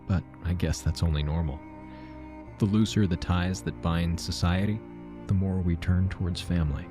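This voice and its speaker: Fiction podcast narrator: weary, regretful older man, deep voice, measured dramatic phrasing, clear music bed. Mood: somber, reflective. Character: weary, regretful aging man recounting his troubled past.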